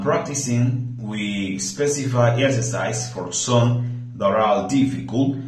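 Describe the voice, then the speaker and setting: A man's voice: on the low side, echoey room, low-quality recording. A man from the Dominican Republic with a Dominican accent, speaking hesitantly in a prepared speaking-practice monologue, often restarting and searching for words.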